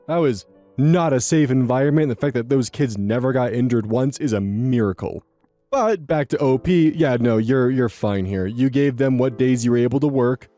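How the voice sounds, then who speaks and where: Chatty young man with a mellow voice. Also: mid-range voice. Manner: rambling, chatty, swears, repeats words. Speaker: host reading and reacting to online posts. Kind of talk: casual commentary with story reading.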